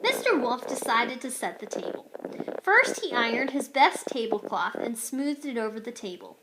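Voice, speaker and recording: A woman's voice: on the high side, background noise. A woman reading a children's storybook aloud expressively, like a teacher.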